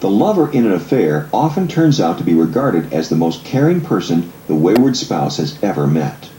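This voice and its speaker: Deep-voiced male audiobook narrator. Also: sing-song, moderate pace, mellow, echoey room, slight background noise, low-quality recording.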